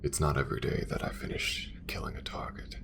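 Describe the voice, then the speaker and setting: A man voicing a calm, devout male alien assassin in an ASMR romance roleplay, whispering in slow, hushed, formal phrases. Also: noisy background, natural voice. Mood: tender. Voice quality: gravelly whisper.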